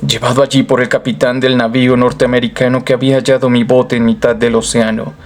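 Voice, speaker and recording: Music plays clearly behind an actor voicing a haunted man who is sliding into madness. His first-person narration is solemn and theatrical, in the manner of a horror radio play. The voice sits at an ordinary male pitch. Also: natural voice. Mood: grave, subdued.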